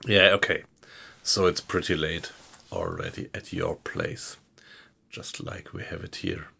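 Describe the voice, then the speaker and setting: A man with a German accent, chatting with viewers while he plays a submarine game, in a slow, hesitant way. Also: extremely low pitch, huge pitch swings, creaky, bright, forceful tone, slightly rough. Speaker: game streamer. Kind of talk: casual live-stream commentary. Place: home room at night.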